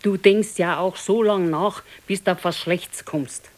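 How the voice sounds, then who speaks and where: A woman's voice: fairly low pitch, steady tone, a little slow, close to an everyday voice. A stoic elderly wife in a naturalistic radio drama, played by an actress. Her Bavarian-accented speech is terse and matter-of-fact, and she contradicts and scolds in a dry, unsentimental way. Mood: dry, reproachful. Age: older. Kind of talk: naturalistic radio play dialogue.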